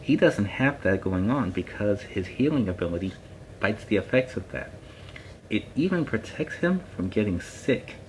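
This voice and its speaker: A man's voice: fairly low pitch, animated, normal speed, darkish tone, a little hiss or hum, natural voice, poor audio. A man playing a friendly superhero storytime host, talking casually and earnestly to viewers in a plainspoken, emphatic way. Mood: earnest, cautioning. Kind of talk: casual instructive talk to viewers.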